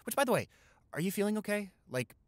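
A man's voice: high-pitched, sing-song, fast talker, no room sound, voice pushed up. A young American man playing a playful, caring college-age boyfriend in improvised roleplay audio, teasing and reassuring with hesitant stammers. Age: young adult.

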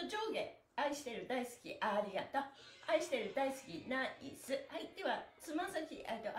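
A middle-aged woman teaches stretching on a casual livestream. Her voice is on the high side and a little hoarse, with lively swings in pitch. She is chatty, cheerful and very polite, heard from a little distance in an echoey room. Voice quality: slightly hoarse. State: hoarse the morning after a performance and party. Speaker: stretching instructor and livestream host. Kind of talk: casual live exercise lesson with counted chants. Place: small bare-walled indoor room. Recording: roomy echo from camera distance.

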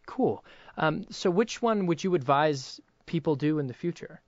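A man's voice: on the high side, animated, normal speed, no room sound, muffled recording. A young man hosting a casual interview in a hesitant, loosely phrased way. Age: young adult. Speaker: interviewer and voice actor.

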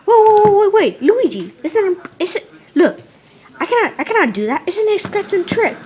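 A woman's voice: very high-pitched, sing-song, a little fast, a little mellow. A woman voicing a fussy, exasperated video game character who protests and repeats himself in an improvised skit.

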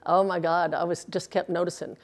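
A woman's voice: fairly low pitch, little pitch movement, fairly quick. A woman in her sixties, a former pro bowler, chatting earnestly in an interview, with filler words, recorded indoors on a lapel mic. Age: 60s. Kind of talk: casual interview answers. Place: indoors in a bowling alley.

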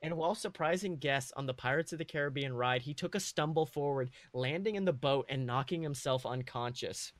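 A man's voice: mid-range voice, brisk, hardly any pauses, no echo. A man co-hosting a podcast, reading and discussing his own comic fan fiction. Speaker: podcast co-host.

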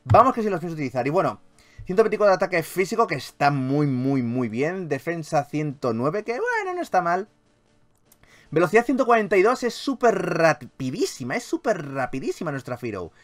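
A man's voice: fairly high pitch, sing-song, brisk, fairly bright tone. A man with an accent from Spain doing casual game commentary as a YouTube host, enthusiastic, playfully grandiose and freely swearing. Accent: Spanish from Spain.

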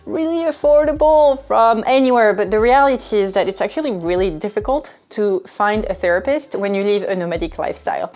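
Woman vlogger in her 30s, medium pitch, chatting candidly into a clip-on mic, some music under it. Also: a little mellow. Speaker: sailing vlogger. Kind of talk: casual vlog talk to camera. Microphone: clip-on wireless lapel mic. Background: background music.

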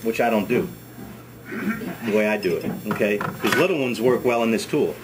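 A middle-aged man, a ski school director, demonstrating a hands-on task in a wood-paneled workshop, talking in a relaxed, chatty way and repeating words as he works. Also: lowish voice, moderate pace. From United States. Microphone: camera mic on a workbench. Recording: camera audio with steady background noise.

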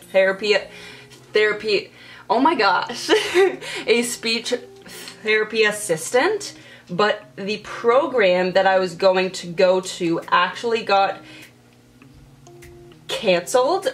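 A young woman vlogger chatting casually while doing her makeup in her bedroom, stuttering with repeated sounds and blocks. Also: medium pitch. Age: young adult. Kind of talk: casual get-ready-with-me chat. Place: bedroom, indoors.

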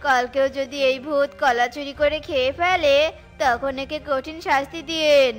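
A woman's voice: high voice, steady tone, moderate pace, faint music behind, lightly acted. A woman voicing a cheeky, loyal little bird servant in a children's cartoon, deferential and teasing. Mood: reasoning.

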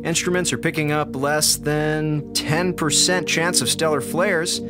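An actor playing a sarcastic, theatrical slacker communications officer on a space station in a radio drama. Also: male voice, a little high, steady tone, a little slow, loud music behind the voice, natural voice. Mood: mock cheerful. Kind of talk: radio drama monologue as a log.

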